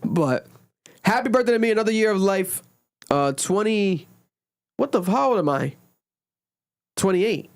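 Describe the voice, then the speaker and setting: A young man hosts a podcast, talking loosely and animatedly, and his fairly high voice shoots up whenever he jokes. The recording is dry and close. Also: unhurried, long pauses, no echo. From Rhode Island, USA. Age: 20s. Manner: animated, swings high when joking. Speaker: podcast host. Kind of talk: casual podcast chat. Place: home recording during a blizzard.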